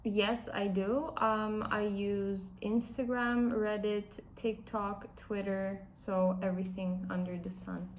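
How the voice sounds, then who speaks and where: A woman's voice: mid-range voice, level tone, slow pace, few pauses, a little hiss or hum. A woman in her twenties from Turkey answering interview questions casually, thinking between phrases. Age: 20s. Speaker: student interviewee.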